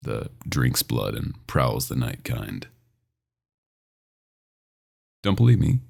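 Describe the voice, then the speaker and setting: A man playing a dominant, possessive vampire doctor in an ASMR roleplay, intimate and teasing with a smooth menace. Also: big pitch swings, measured pace, darkish tone, heavily acted. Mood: teasing, boastful. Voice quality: very deep, gravelly.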